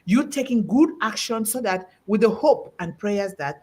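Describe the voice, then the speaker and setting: A middle-aged woman with a husky, breathy voice speaks to a panel in an emphatic, preaching manner. Her pitch swings widely as she quotes scripture and presses her points home. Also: lively intonation, normal speed, warm tone. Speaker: host. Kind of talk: preaching-style talk on a panel.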